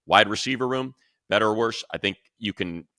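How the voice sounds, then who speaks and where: A deep-voiced American man hosts a sports talk show. His delivery is forceful and bright, in punchy, emphatic phrases, and the sound is dry and close. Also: brisk, no echo.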